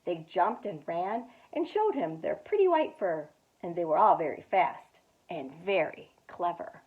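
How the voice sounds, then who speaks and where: A woman reading a picture book aloud for children, her voice rising and falling with lively expression and dropping to whispers for asides. The audio is narrow and tinny, like it came through a phone. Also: lowish voice, unhurried. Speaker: youth director. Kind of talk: children's storytime read-aloud.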